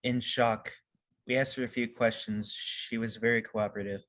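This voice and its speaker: A woman playing a formal, steady police detective in an amateur radio play, procedural and deadpan. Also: rumbling low, moderate pace.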